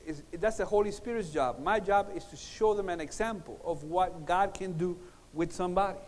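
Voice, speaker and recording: Male preacher, middle-aged, high register, emphatic storyteller, big echoing hall PA sound. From Puerto Rico, born in Cuba. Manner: animated storytelling, emphatic pauses and repetition. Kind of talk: sermon with humorous personal stories. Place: large conference hall, on stage at a lectern. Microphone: lapel mic. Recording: reverberant hall sound system.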